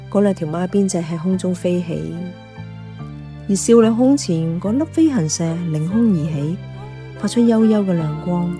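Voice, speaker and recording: Actress as mellow female storyteller narrating. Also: on the low side, lightly acted. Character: observant café worker telling the story. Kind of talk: scripted first-person radio drama narration.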